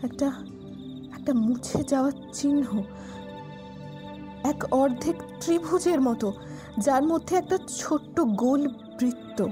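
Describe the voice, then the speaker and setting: An actress playing an earnest, sceptical female detective in an audio thriller, speaking in an intent, deliberate way. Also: sits high, expressive. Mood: tense, intent. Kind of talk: Bengali audio thriller acting. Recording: loud music bed behind voice.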